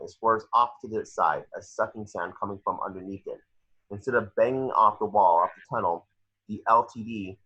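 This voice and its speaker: A dull, lo-fi recording of a man with a lowish, slightly husky voice. He narrates action lines from a screenplay, halting now and then as he reads. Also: pushed and bright. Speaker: reader of action descriptions. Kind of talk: screenplay narration at a table read. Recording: muffled lo-fi home recording.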